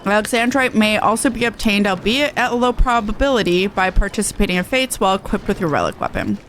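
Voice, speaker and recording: A woman's voice: medium pitch, fast talker, fairly bright tone, slight background noise. A woman game streamer chatting casually and reading quest text aloud.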